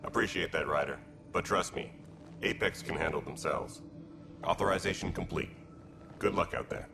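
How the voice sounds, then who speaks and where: Low, gravelly alien militia commander. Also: male voice. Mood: businesslike. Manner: measured, matter-of-fact, military. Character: gruff alien male militia commander, dutiful and pragmatic. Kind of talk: video game cutscene acting. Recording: loud background noise.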